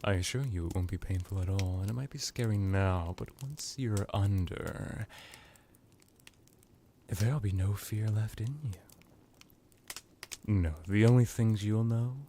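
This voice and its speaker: A man performs as an ancient vampire lord in an intimate ASMR roleplay. His voice sits fairly low and moves very slowly, slipping into whispers. He is seductive and teasing, with a theatrical menace, over a faint background noise. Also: warm tone. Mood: coaxing, menacing. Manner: slow, theatrical, drifting into whispers.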